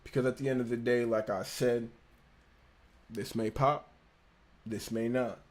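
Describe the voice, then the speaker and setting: A man's voice: mid-range voice, fairly even intonation, unhurried. A young man with a slight lisp, hosting a casual livestream and reading an engineering textbook aloud, stumbling and rereading lines. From United States. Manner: laid-back, slangy, stumbles and rereads lines. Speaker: livestream host studying engineering.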